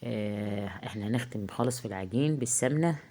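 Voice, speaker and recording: A woman with an Egyptian accent, hoarse from a heavy cold, chatting casually through a cooking tutorial in her home kitchen, halting often with drawn-out filler sounds. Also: very deep voice, expressive, warm tone. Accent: Egyptian Arabic. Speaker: home cook vlogger. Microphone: phone.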